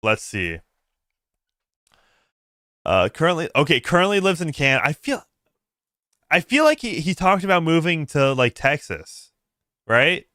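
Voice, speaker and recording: A man hosting a casual livestream, reacting aloud in a halting way, repeating words and breaking off mid-sentence. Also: on the high side, very animated, sharp tone, no echo, studio quality. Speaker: livestream host. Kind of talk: casual reaction stream commentary.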